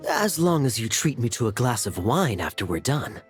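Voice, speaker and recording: A man's voice: medium pitch, very animated, normal speed, faint music behind. A man playing a roguish, playful cavalry captain in a video game, suave and teasing.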